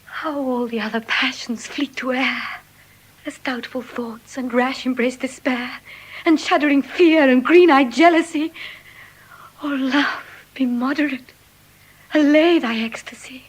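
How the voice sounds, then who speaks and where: A young noble heiress in love speaks classical verse in a refined RP English accent. Her voice is fairly high and husky with breath. She takes her lines slowly, with heartfelt, devoted tenderness in a stage drama style. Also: rough recording. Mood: overjoyed, breathless. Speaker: stage actor. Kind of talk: classical Shakespearean verse acting.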